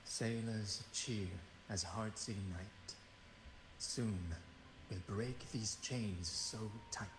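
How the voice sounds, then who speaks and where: A man's voice: lowish voice, very slow, noisy background. A man playing an earnest, lyrical young sailor-poet in a video game. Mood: stirring. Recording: game music, roomy reverb.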